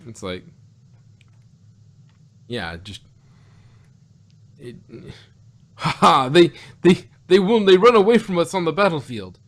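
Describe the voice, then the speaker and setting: Halting, argumentative man in casual chat. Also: on the high side, very animated. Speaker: podcast reviewer. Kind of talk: casual review chat about an audio drama.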